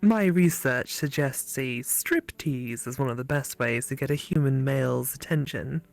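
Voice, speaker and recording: A woman's voice: very deep voice, normal speed, vocal fry, darkish tone, pitched below the performer's own voice. A woman voicing a flirty, curious female AI creature in a visual novel, frank and teasing. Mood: teasing, inviting. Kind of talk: visual novel character read aloud.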